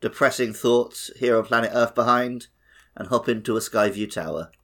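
A man co-hosting a gaming podcast, giving his opinions in an animated, casual way. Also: mid-range voice, moderate pace. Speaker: podcast co-host. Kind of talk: casual opinionated game chat.

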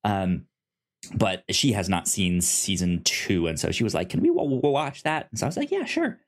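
Halting podcast co-host, stumbling over word starts. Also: low voice, big pitch swings. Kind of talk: casual podcast review chat.

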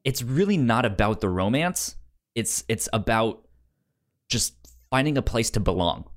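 Male podcast co-host, medium pitch, loose conversational delivery, halting with repeated word starts. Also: animated. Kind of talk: casual film discussion.

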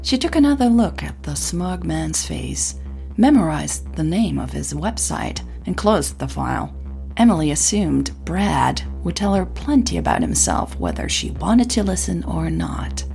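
A woman reads a scripted audio story in a fairly low voice with a lot of vocal fry. Her delivery is measured and understated, with a wry storyteller's touch. Faint music plays behind her. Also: dark tone. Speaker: audio drama narrator.

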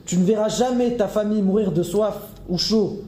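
A man voicing a desperate male intruder who shouts and accuses, speaking slowly and heavily. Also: high voice, roomy sound, slight background noise, voice pushed up, natural voice. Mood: furious. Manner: shouting, accusing, slow and heavy.

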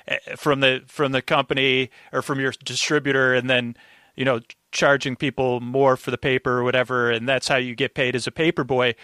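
A man's voice: on the high side, pushed and bright, no echo, clean studio sound. A man chatting casually as a podcast guest, rambling as he thinks aloud. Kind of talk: casual chat.

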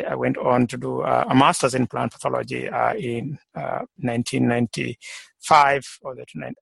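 Gravelly, husky middle-aged man from Kenya. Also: medium pitch, a bit bright, no echo. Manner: halting, many fillers and restarts. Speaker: guest, plant pathologist. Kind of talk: conversational interview.

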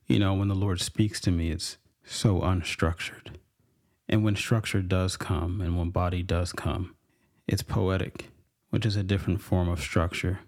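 Low-voiced man musing on faith. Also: vocal fry, a little mellow. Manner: musing, halting, many restarts and fillers. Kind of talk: reflective devotional monologue.

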